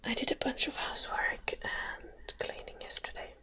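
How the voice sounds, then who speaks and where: A woman, sick with a stuffy nose, whispering through a casual ASMR livestream, greeting viewers by name and swearing offhandedly. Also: moderate pace. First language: Finnish.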